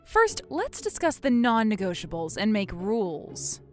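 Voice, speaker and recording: A woman playing a feisty, stubborn university girl in a manga rom-com dub, snappy and quick to bicker. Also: medium pitch, huge pitch swings, soft background music. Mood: matter-of-fact.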